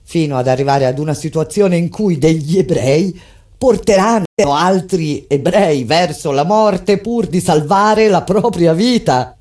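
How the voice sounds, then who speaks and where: A woman performing a theatrical monologue as a grave resistance fighter recounting an uprising, in a solemn, deliberate, declamatory delivery. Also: lowish voice, lightly acted. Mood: grave, tense. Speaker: actress narrating a stage monologue. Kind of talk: theatrical dramatic reading of a survivor's testimony. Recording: theatrical reading over prominent music. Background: loud musical accompaniment.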